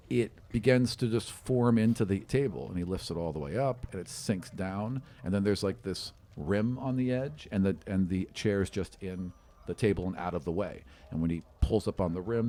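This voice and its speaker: A man's voice: fairly low pitch, dark tone. A man improvising narration as game master of a tabletop fantasy game, descriptive and conversational, often searching for words.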